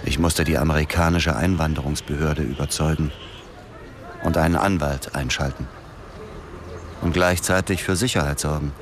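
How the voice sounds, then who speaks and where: An actor playing a weary, grieving middle-aged former soldier in a radio drama, speaking in a subdued, understated way. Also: male voice, very deep voice, normal speed, long pauses, crowd noise. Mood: sober narrating. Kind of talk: radio drama dialogue and first-person narration. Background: music under the voice.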